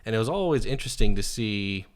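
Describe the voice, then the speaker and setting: A man's voice: lowish voice, lively intonation, fast. A man in his thirties hosting a podcast interview, chatty and loose, with many fillers and restarts. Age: 30s. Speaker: podcast host. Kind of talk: casual interview.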